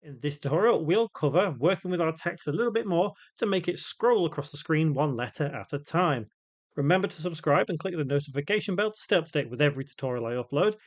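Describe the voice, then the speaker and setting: A man hosting a step-by-step software tutorial, explaining each piece in turn. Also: fairly high pitch, animated, quick pace. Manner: explanatory, spells out code piece by piece. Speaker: tutorial host.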